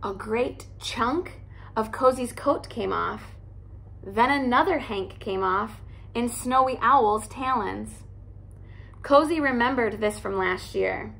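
A young woman, a teacher, reading a children's picture book aloud in an animated, expressive way at home. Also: a little high, slow pace, gravelly. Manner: animated, expressive storytelling with character voices. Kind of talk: storybook read-aloud. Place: living room by a fireplace, indoors.

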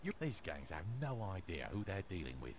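An actor playing a tough, streetwise male gangster in a video game, with gruff lines. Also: low voice, very animated, a little fast. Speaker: game character.

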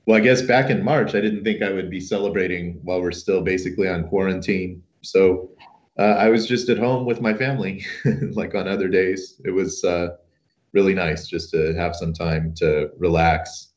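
Deep-voiced man with a cold-scratchy voice. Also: normal speed. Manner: relaxed, modest, hesitant with fillers. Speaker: guest professor. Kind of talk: casual interview answers. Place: home room. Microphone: video call. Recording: roomy, muffled video call audio.

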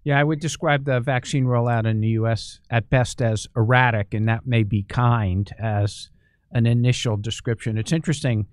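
A man's voice: medium pitch, fairly even intonation, normal speed, dry recording. A middle-aged man hosting an interview, asking earnest conversational questions into a studio microphone. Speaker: host. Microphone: studio microphone on stand.

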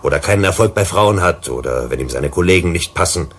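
Low-voiced, probing troubleshooter. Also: male voice, animated, fairly bright tone, breathy edge, full character voice. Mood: probing, insistent. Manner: probing, deliberate, lecturing. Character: probing, unhurried male troubleshooter questioning colony bosses. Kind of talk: German radio play acting.

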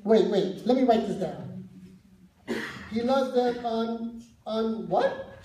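Woman playing a curious eager kid puppet, mellow voice, echoey church hall from afar, faint noise. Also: a little high, animated, slow pace, far from the mic. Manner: eager, questioning. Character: boy puppet Joe, curious young kid. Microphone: far camera.

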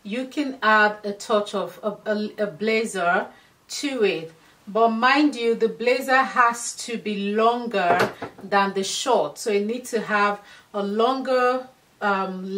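A woman in her thirties talks viewers through outfits in her dressing room, calling them her darlings. She speaks slowly and easily at a middle pitch. Her voice is slightly hoarse, as if she is losing it. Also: fairly bright tone. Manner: chatty, affectionate, addresses viewers as darlings. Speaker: fashion vlogger. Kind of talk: casual styling talk to camera.

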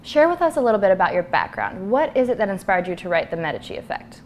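A young adult woman hosting an interview in an office, reading a scripted introduction and asking questions in a polished, measured delivery. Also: medium pitch, very animated, a little mellow, a little hiss or hum. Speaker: interview host. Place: office with bookshelves. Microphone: clip-on lapel mic.

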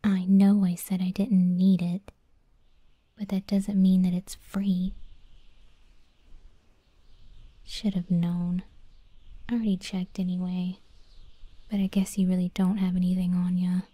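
A very soft, dark-toned whisper, close and dry in the ear. A woman plays a cocky young scorpion monster girl in an ASMR roleplay, aloof and teasing, reluctant to show her kindness. She takes her time with every slangy line. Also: lowish voice, little pitch movement, a little slow, slightly rough, dry recording. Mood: teasing, demanding. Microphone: close, dry ASMR microphone.

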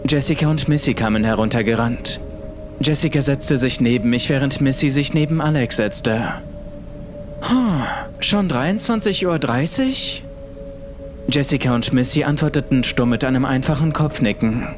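A man's voice: mid-range voice, brisk. A man reading a scripted horror story as the narrator of an audiobook. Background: music.

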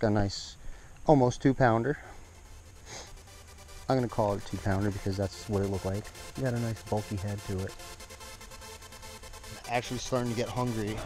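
Give me a relaxed young guy narrating a fishing vlog on a rocky shore. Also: lowish voice, low-quality recording. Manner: easygoing, chatty, talks to the fish and viewers. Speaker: fishing vlogger. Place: outdoors on a rocky lakeshore. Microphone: action camera, head-mounted and at arm's length.

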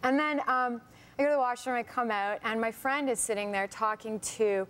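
A young woman from Canada, a guest on a talk show, chatting casually and telling lively stories, recorded on a lapel mic in a television studio. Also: a little high, fairly quick. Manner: animated storyteller, acts out quoted voices. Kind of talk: casual chat.

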